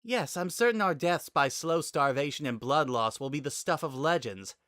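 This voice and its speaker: A man's voice: fairly high pitch, animated, moderate pace, fairly bright tone, no echo, clean studio sound, pitched above the performer's own voice. A man voicing a defiant woman bounty hunter in a sci-fi dramatic reading, biting, sneering and sarcastic. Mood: scornful.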